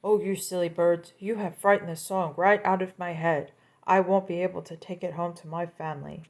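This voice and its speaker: A woman's voice: fairly low pitch, steady tone, normal speed, lightly acted. A woman voicing an eager, forgetful male coyote in a children's story, pleading and grumbling by turns. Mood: exasperated.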